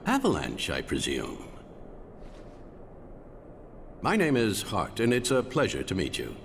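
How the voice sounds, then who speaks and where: Game mayor's aide, polite, formal, unctuous, animated intonation, faint background noise. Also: male voice, lowish voice. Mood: courteous. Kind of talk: dramatic video game acting.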